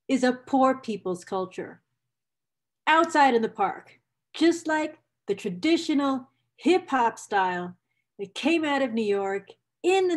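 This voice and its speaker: A woman reads aloud in a somewhat high voice at a markedly slow pace. She is enthusiastic and invested, repeats phrases for effect, and breaks off for casual asides to her viewers. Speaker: presenter reading a book excerpt aloud.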